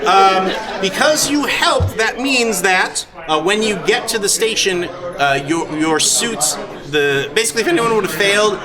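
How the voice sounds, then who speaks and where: A man acting as game master at a live tabletop game, explaining rules and narrating in a halting, informal, encouraging way. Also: sits high, animated, over music. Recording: noisy live convention stream, loud crowd behind. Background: crowd noise.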